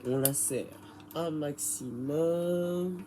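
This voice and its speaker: A man's voice: fairly high pitch, drawn-out words, mellow, a little hiss or hum. A man with a head cold explaining a bike repair in a casual, hesitant way, full of fillers and restarts. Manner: hesitant and rambling, many fillers. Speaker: bike repair tutorial maker.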